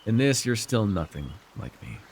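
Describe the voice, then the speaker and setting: A scheming dark sorcerer ruler, acted by a man, speaks in a low and very animated voice over noticeable background noise. He is smug, coercive and possessive, talking down to the listener with theatrical pauses and sighs in an immersive fantasy roleplay. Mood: condescending, brooding.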